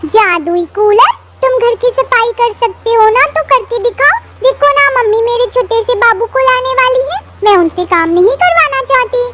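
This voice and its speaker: A woman voicing a sweet, excitable little girl in a children's cartoon, pleading and eager. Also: extremely high pitch, moderate pace, hardly any pauses, no room sound, pitched above the performer's own voice. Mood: coaxing. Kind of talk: Hindi children's cartoon story voice acting.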